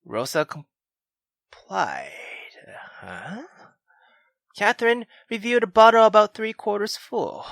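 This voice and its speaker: Lively, slow-reading woman gaming host. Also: medium pitch, no room sound. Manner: expressive, swearing, exclamatory asides. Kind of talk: visual novel read-aloud with casual commentary. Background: faint game music.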